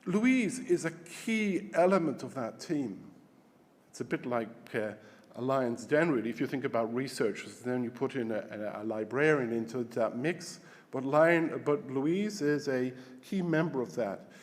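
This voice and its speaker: A Scottish man giving a formal opening welcome as conference host, with halting restarts and repeated words. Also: mid-range voice. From Scotland. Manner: hesitant, repeats words while searching. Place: large conference hall. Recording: long hall reverb.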